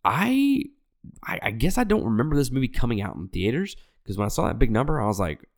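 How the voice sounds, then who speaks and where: A man's voice: mid-range voice, sing-song, fast. A man hosting a movie review podcast in a loose, rambling way, sounding nasal and congested from an illness. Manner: rambling, self-correcting, frequent restarts; loose, profane, conversational. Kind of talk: casual chat.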